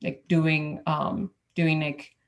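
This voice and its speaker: A woman's voice: low-pitched, little pitch movement, slow. A woman from Ethiopia who works as an engineer, speaking as a roundtable panelist in a hesitant way, with many fillers and restarts. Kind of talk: casual roundtable talk.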